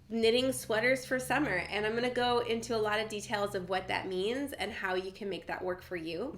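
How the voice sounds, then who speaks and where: A woman hosting her own knitting channel, her voice a little nasal and stuffed up from a cold. She talks in an easygoing, chatty way, dropping in "like" as she goes. Her pitch sits in the middle range for a woman. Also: fairly bright tone. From Southern California. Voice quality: slightly nasal. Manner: friendly and chatty, frequent "like" fillers and light laughs. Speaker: knitting vlogger, host. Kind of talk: casual chatty vlog.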